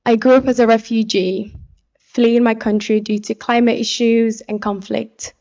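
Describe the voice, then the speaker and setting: Young woman from somalia giving a heartfelt testimony speech, pretty flat pitch, kinda dull lo-fi audio. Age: young adult. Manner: earnest, deliberate public speaking. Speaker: pageant contestant and activist speaker.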